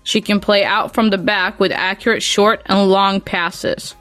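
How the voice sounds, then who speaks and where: A woman's voice: mid-range voice, steady tone, a little slow, fairly bright tone, soft background music. A woman with a Swedish accent hosting a podcast and asking casual interview questions in a chatty way. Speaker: podcast host.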